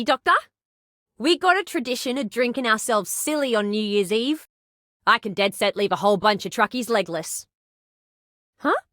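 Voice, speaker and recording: A brash game character who loves a drink. Her voice is high, bright and lively, with cheeky, slangy delivery. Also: no room sound, clean studio sound, heavily acted. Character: brash, boozy, fun-loving woman who outdrinks truckers. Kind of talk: scripted game character greeting.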